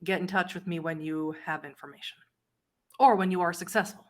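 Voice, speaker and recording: A woman playing an imperious vampire ruler in a tabletop game, measured and dryly disdainful. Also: lowish voice, steady tone, lightly acted. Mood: dismissive. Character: imperious adult vampire prince, a cool, commanding lady.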